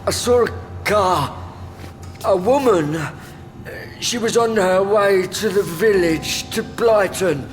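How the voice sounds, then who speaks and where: A misshapen, servile henchman in an audio drama, childlike and fearful. His high voice comes slowly and haltingly. It rings in a long reverberant hall with music behind. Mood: anxious confession.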